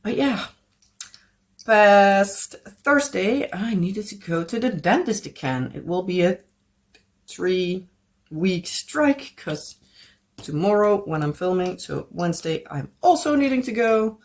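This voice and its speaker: A woman's voice: mid-range voice, lively intonation, takes it slowly. A Dutch woman with a Dutch accent chatting casually at home as a craft vlogger, rambling and searching for English words with frequent little laughs. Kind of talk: casual chat while crafting.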